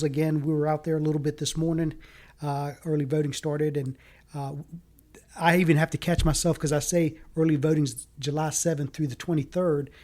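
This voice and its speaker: A man raised in the American South whose first language was Greek, talking earnestly in a casual interview, with many halting fillers. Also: a little high, darkish tone. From Fayetteville, North Carolina. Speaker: city council candidate.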